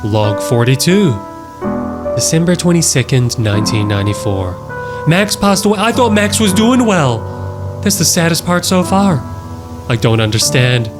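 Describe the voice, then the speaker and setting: A man's voice: a little high, big pitch swings, unhurried. A man reading text aloud and adding casual, wry commentary as a horror reaction host. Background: music behind the voice.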